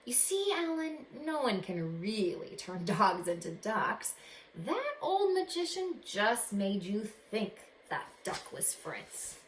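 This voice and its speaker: A woman voicing a kindly female neighbour in a storybook, speaking in a gentle, emphatic way. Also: medium pitch, sing-song, slow. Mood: gently amused. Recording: echoey room with background noise.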